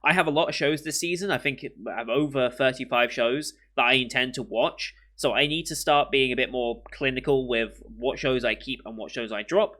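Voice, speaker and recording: A young British man talks casually to his viewers with a bright, forward voice and a slight sniffle from a coming cold. He rambles, backtracks and fills gaps with ums as he thinks aloud. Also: medium pitch, normal speed, few pauses. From Britain. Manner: rambling, with many ums and restarts. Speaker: anime reaction host. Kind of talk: casual chat to camera.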